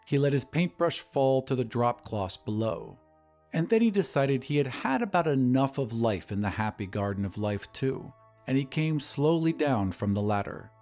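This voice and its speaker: A man narrating an audio drama in a measured, expressive storytelling voice, and hosting the show. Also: medium pitch. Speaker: narrator and producer.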